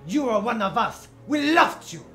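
A man's voice: very high-pitched, big pitch swings, normal speed, sharp tone, soft background music, exaggerated voice. An actor playing a menacing male mime who puts on a silly French manner in a campy web comedy. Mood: domineering. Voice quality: mock French, theatrical.

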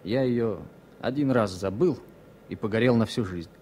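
An actor playing a fussy, superstitious former pilot as a passenger, hesitant yet insistent, in a 1970s Soviet radio play. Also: male voice, on the low side, normal speed, dark tone, lightly acted. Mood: insistent, wistful. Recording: faintly noisy vintage radio recording.

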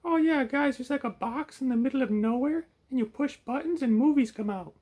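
A man voicing a silly, greedy, self-important furry puppet with a naive, boastful, excitable manner. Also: very high-pitched, brisk, slightly rough, voice pushed up. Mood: excited, naive. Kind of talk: puppet show banter.

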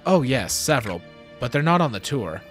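A man's voice: mid-range voice, very animated, moderate pace, faint music behind, close to an everyday voice. A man playing an earnest, proud doctor of genetics who explains things matter-of-factly in an audio drama. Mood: confident. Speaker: voice actor in a fan audio drama.

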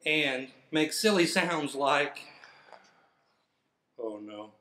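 Middle-aged male storyteller, unhurried and theatrical, with big swings in pitch for a kids' picture book. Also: animated, pushed and bright, slight background noise. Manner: theatrical, playfully exaggerated reading. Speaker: firefighter. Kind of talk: children's picture book read-aloud. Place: indoors in front of a fire truck. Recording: roomy, echoing sound.

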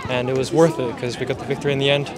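A teenage rugby player answering outdoors into a handheld mic, composed and articulate. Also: male voice, medium pitch, little pitch movement, racing. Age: teens. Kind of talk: post-game interview. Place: outdoor sports field. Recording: crowd noise outdoors.

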